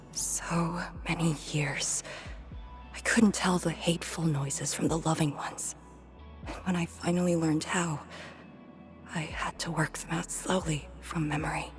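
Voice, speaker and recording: A woman voicing a grieving, haunted character in a video game, speaking in a halting, hushed and breathy way. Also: low voice. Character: grieving woman revisiting her lost childhood home, wistful, haunted. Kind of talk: dramatic video game voice acting. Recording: game music behind the voice.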